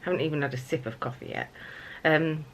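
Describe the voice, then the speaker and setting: A middle-aged woman with a croaky, just-woken voice, chatting casually to her camera at home in the morning, rambling with lots of fillers. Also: sits low, fast. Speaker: vlogger. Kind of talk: casual morning vlog chat. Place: family home kitchen.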